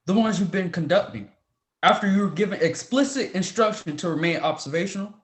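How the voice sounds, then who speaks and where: A man's voice: high voice, fairly quick, close to an everyday voice. A man playing a stern, proud professor who speaks formally and reprovingly in a dramatic script reading. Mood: angry reproach. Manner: formal, reprimanding. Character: stern, proud male professor, demanding authority figure.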